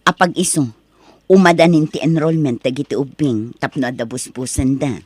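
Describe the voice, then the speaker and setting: A woman with an Ilocano accent playing a supportive, practical wife and mother, gentle and conversational, in an audio drama. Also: a bass voice, moderate pace, dry recording.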